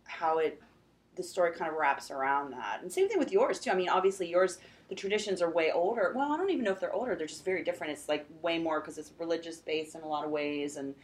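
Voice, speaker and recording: A woman with a hoarse, scratchy voice hosting a book interview at a convention, chatty and full of restarts. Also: fairly low pitch, expressive, fast. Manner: chatty, uses many fillers like "like" and restarts. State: hoarse, slightly lost voice. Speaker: podcast host and book editor. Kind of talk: casual interview chat. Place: convention event, indoors.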